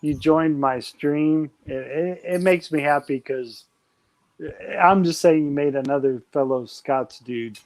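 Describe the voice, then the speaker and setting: A man's voice: medium pitch, a little mellow. A man hosting a late-night live stream, chatting casually and rambling enthusiastically with many restarts. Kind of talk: casual chat.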